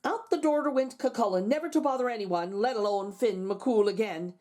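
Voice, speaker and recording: A woman's voice: on the high side, moderate pace, darkish tone. A woman storytime host narrating a picture book expressively, with chatty asides to young listeners. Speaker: storytime host reading aloud to children.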